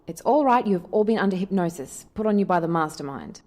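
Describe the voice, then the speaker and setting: A woman's voice: on the low side, expressive, fast, darkish tone. A woman playing a plucky, restless alien adventurer in an audio drama, speaking in a wry, earnest way. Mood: reassuring.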